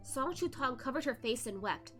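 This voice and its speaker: A woman with a high voice hosts a read-along, narrating a dramatic novel expressively. Faint music plays behind her. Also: expressive, normal speed, a bit bright. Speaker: host of a novel read-along, virtual streamer. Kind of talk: expressive story narration with casual asides.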